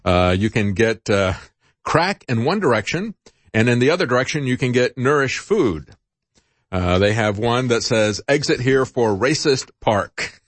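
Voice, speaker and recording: A man's voice: medium pitch, expressive, moderate pace, no echo. A middle-aged man hosting a talk show, giving informal, rambling, conversational news commentary. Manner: rambling, conversational, chuckles at his own asides.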